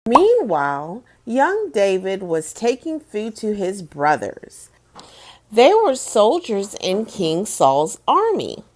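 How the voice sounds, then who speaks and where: A woman in her thirties hosting bedtime stories for children, with exaggerated, deliberate phrasing as she reads to young listeners. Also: mid-range voice, huge pitch swings. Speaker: host of a children's bedtime story series. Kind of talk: children's read-aloud, storytelling to young listeners. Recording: music behind the voice. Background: music.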